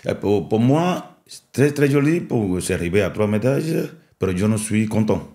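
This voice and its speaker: Deep-voiced Cuban-accented man, halting. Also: very animated, warm tone. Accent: Cuban Spanish. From Cuba. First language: Spanish. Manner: halting, with restarts and repeated words. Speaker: national boxing coach. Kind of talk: interview, mixing French and Spanish.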